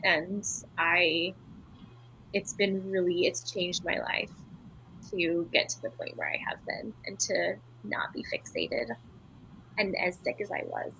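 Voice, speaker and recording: A woman from Belgium, a native French speaker, talks in an interview with a bright, clear and forward voice. She answers earnestly and candidly, reflecting as she speaks about her own experience. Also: lowish voice, fairly even intonation, takes it slowly, a little hiss or hum, rough recording. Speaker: writer and retreat leader.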